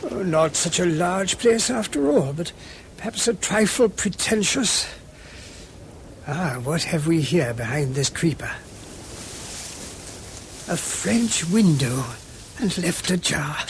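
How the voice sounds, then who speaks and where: A man with a British accent playing a gentle, inquisitive priest-detective in a radio drama, musing aloud politely and a little hesitantly. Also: fairly high pitch, expressive, slow pace, long pauses, a little hiss or hum. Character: gentle, inquisitive, mild-mannered English priest-detective, male.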